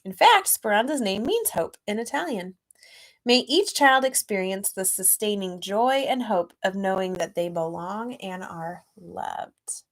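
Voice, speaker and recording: A woman reading a children's storybook aloud expressively and gently, with chatty asides to young listeners. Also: medium pitch, unhurried. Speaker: children's author reading own book aloud.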